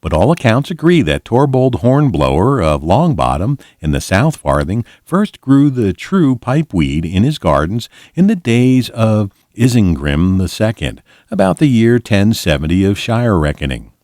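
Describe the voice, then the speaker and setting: Deep-voiced man reading expressively aloud. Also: sing-song, normal speed, hardly any pauses, a little mellow, dry recording, clean studio sound. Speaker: volunteer storybook reader. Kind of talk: scripted audiobook narration of a fantasy book.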